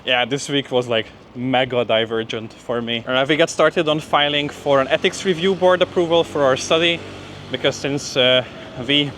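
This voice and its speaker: A man's voice: medium pitch, fairly bright tone. A young man talking casually to camera while walking, slightly out of breath, with informal phrasing full of "like" and "you know". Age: young adult. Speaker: PhD student vlogger. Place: outdoors on a street, walking. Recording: clear outdoor street and traffic noise.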